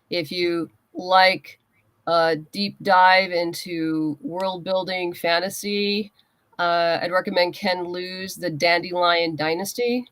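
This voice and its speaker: A woman guest in a casual interview, chatting in a halting way as she thinks aloud. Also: fairly low pitch, a bit bright, no room sound. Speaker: interview guest, comics writer and creator.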